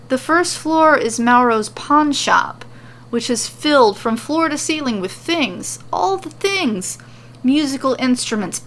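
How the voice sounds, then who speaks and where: A woman storyteller reading scripted fiction in an expressive narration style. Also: sits high.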